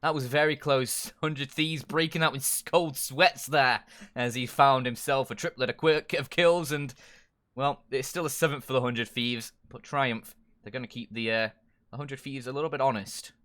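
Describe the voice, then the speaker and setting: Excitable male esports play-by-play caster. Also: mid-range voice, moderate pace. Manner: excitable, builds to shouting at big plays. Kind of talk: live esports commentary.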